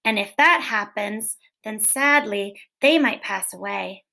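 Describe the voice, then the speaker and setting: A woman teacher reading a children's book aloud in a gentle, clear, expressive way, posing questions to young listeners. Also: medium pitch, unhurried. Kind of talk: read-aloud storytelling for children.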